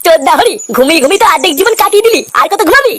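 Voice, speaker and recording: Squeaky, rapid-fire grumbling old father. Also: expressive, noisy background. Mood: grumbling, cross. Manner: aggrieved, foul-mouthed, self-pitying. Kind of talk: Bengali comedy cartoon dubbing.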